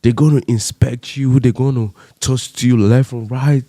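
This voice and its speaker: Young man from Cameroon, vlogger; fervent rhetorical commentary, warm low voice. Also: steady tone, unhurried, no room sound, clean studio sound. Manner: impassioned, rhetorical questions, rising pitch when stressed. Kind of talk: commentary monologue.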